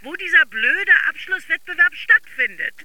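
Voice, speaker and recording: An actress playing a brisk, exasperated young caller with a flippant, emphatic manner in a radio drama. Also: female voice, very high voice, very animated, bright, forceful tone, no echo, full character voice, poor audio.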